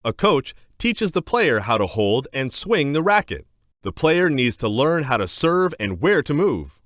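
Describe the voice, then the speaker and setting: A man narrating a children's educational book aloud in a scripted, clear and deliberate instructional manner. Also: medium pitch, sing-song, a bit bright, dry recording, studio quality.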